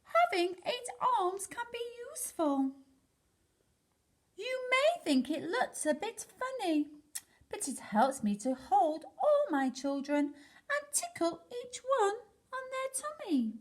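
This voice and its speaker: A woman's voice: extremely high pitch, big pitch swings, slow pace, pitched above the performer's own voice, exaggerated voice. A woman voicing a cheerful, fussy mother octopus from a children's storybook in a sing-song manner.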